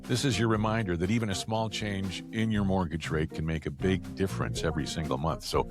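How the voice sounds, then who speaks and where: A man's voice: sits low, a little fast. A man hosting a podcast, delivering a scripted promotional read for sponsors. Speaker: podcast host reading sponsor spots. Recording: background music under voice.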